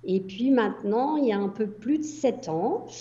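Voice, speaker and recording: A woman's voice: fairly high pitch, lively intonation, moderate pace, dark tone, lo-fi sound. A French woman in her sixties from the Paris region, a grandmother answering interview questions with frequent hesitations. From Paris region, France. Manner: hesitant, many fillers. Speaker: guest, entrepreneur.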